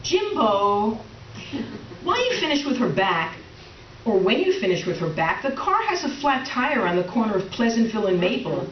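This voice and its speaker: A woman's voice: medium pitch, sing-song, normal speed, lightly acted. A woman playing a middle-aged wife in comic readers theater, tightly wound and resentful, with brittle politeness and dry sarcasm. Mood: icily polite. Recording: roomy, distant, dull, faintly noisy stage recording.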